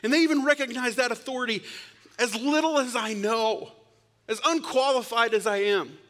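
Bright-voiced preacher in a reverberant hall. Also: male voice, extremely high pitch, moderate pace. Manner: storytelling, swings from loud bursts to hushed whispers. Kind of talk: sermon.

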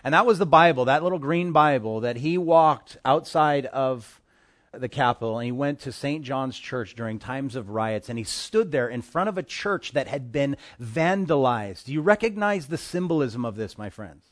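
A man's voice: medium pitch, lively intonation, moderate pace. Impassioned male pastor preaching. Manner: impassioned preaching, swings between hushed and forceful. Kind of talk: sermon. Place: church in Hawaii.

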